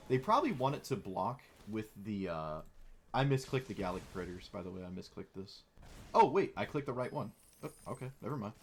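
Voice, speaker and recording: Young male streamer with a lowish, slightly hoarse voice and wry, chatty game commentary. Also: slight background noise. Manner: chatty, wry, playfully mock-dramatic. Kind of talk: casual gameplay commentary.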